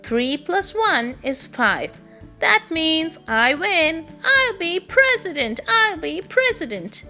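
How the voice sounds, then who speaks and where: A woman's voice: extremely high pitch, very animated, slow pace, bright, forceful tone, soft background music, voice pushed up, exaggerated voice. A woman voicing an eager, exuberant young boy frog in a children's storybook reading. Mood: excited. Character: excitable young boy frog running for class president.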